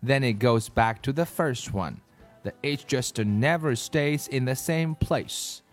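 A man's voice: medium pitch, takes it slowly, soft background music, close to an everyday voice. A man voicing a miserable young schoolgirl who whines and complains about itching, in a children's storybook. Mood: complaining, fretful.

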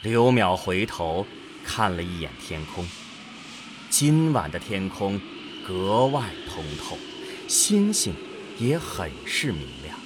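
A man's voice: sits low, big pitch swings, takes it slowly, background noise. A man narrating a science fiction radio drama in a scripted, dramatic storytelling style.

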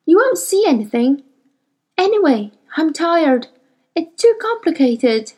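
Woman reader plays a worn-out scientist woman, high-pitched and clipped, skeptical, bouncing between highs and lows. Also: big pitch swings, measured pace, warm tone. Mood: weary. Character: tired, brisk adult woman scientist.

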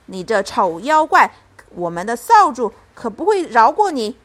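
A woman's voice: fairly high pitch, animated, normal speed. A woman voicing a young mother in a children's storybook, tender with her child and fiercely protective, by turns coaxing and commanding. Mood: fierce, roaring.

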